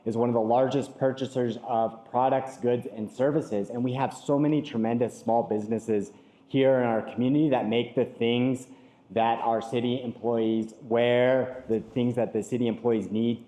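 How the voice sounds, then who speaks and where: A man's voice: mid-range voice, steady tone, moderate pace, warm tone, roomy sound, low-quality recording. A man answering a forum question as a city candidate, earnest and a bit hesitant.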